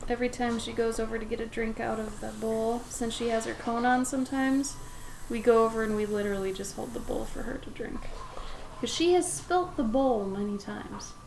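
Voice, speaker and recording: A young woman with a somewhat raspy voice, chatting casually while she draws on a livestream and talks to her dog and to viewers. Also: fairly high pitch, normal speed, darkish tone, a little hiss or hum, low-quality recording. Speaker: livestreaming pet portrait artist. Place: home art studio with plastic bins.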